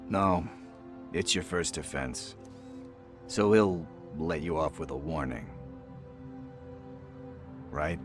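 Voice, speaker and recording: An actor playing a scruffy, wisecracking street informant in a video game, talking in a loose, streetwise way. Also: male voice, extremely low pitch, slow pace, pauses often, over music. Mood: gruff concern.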